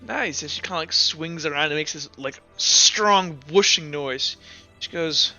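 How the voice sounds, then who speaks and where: A man's voice: on the high side, a little slow, sharp tone, dull audio, faint music behind. A man narrating a tabletop roleplaying game as game master, in a casual, informal way with some swearing.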